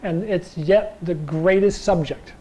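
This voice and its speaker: A man teaching a chi gung and tai chi class in a loose, rambling way, with a slightly raspy voice and frequent check-ins with his listeners. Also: high voice, a little slow, warm tone, low-quality recording. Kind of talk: casual class talk.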